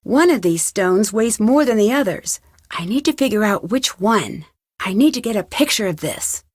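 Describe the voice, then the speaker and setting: An actress plays a young woman detective from an adventure game, recorded very dry and close. She talks to herself in clear, practical, curious remarks, with a medium pitch and steady determination. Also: normal speed, dry recording, lightly acted. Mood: focused, puzzling. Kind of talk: scripted adventure game dialogue, thinking aloud.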